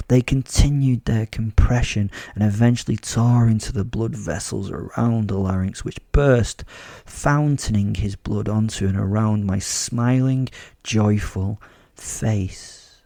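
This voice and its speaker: A man's voice: fairly low pitch, vocal fry, dark tone, dry recording. A British man playing a gleefully sadistic, sardonic werewolf serial killer, narrating a horror audio drama in the first person with sweary, darkly comic relish. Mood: blissful, menacing.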